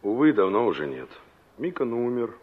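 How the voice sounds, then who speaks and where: Young engineer, radio drama, husky, reflective, inquisitive, conversational, muffled vintage broadcast sound. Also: male voice, medium pitch, big pitch swings, moderate pace. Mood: reminiscing. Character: young male engineer, former carpenter, reminiscing and inquisitive. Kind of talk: 1960s Soviet radio drama acting. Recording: dull, band-limited old radio recording.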